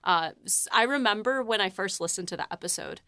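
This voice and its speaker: A woman's voice: medium pitch, expressive, brisk, sharp tone, clear, resonant tone, no echo, clean studio sound. A woman co-hosting a podcast as a writer, chatting enthusiastically with stammers and giggles. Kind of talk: casual behind-the-scenes chat.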